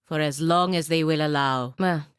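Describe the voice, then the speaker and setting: A woman's voice: sits low, moderate pace, clear, resonant tone, dry recording, lightly acted. An actress playing a stern, dutiful and proud paladin woman in a video game, with a dry, sardonic and commanding manner. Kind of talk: video game character dialogue.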